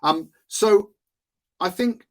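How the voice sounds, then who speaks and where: A man's voice: sits high, drawn-out words, fairly bright tone. A Welsh man hosting a solo podcast on marketing, talking in a chatty, self-amused way with frequent fillers and little laughs. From Wales. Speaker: podcast host, marketing consultant.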